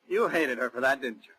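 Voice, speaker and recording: An actor playing a brash, resentful young suitor, in 1940s radio drama style. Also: male voice, medium pitch, pushed and bright, slightly rough, exaggerated voice, low-quality recording. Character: bitter, defiant young suitor of a rich woman.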